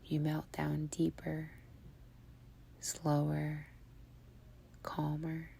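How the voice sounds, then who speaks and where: Female meditation guide: low, very flat pitch, breathy near-whisper, soothing and unhurried, faint background noise. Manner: soothing, hushed, unhurried phrasing with long pauses.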